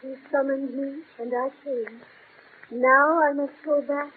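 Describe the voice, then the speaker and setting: An actress voicing the ghost of a dead bride, speaking in a hushed, even, haunting way in 1940s radio drama style. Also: female voice, high voice, slow pace. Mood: eerie. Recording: tinny old radio transcription, faint hiss.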